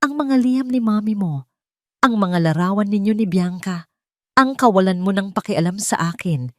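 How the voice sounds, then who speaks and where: A woman's voice: mid-range voice, moderate pace, no echo, clean studio sound, voice pushed up, close to an everyday voice. Woman voicing a bitter, defiant betrayed young wife. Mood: bitter, angry. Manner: accusing, pleading rhetorical questions.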